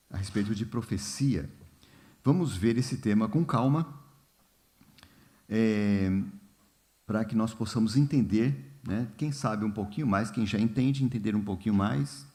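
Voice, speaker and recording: A man leading a church Bible study in a relaxed, informal way, often pausing with fillers and asking the congregation questions. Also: fairly low pitch. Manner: unhurried, many fillers and "né?", asks the congregation questions. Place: church hall.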